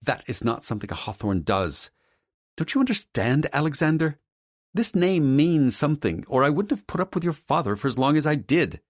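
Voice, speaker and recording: A man's voice: sing-song, normal speed, dry recording, studio quality. A man voicing a haughty, domineering wealthy mother in an audiobook, with a grand, condescending manner. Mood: stern, scolding. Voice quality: deep female voice. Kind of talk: audiobook character dialogue.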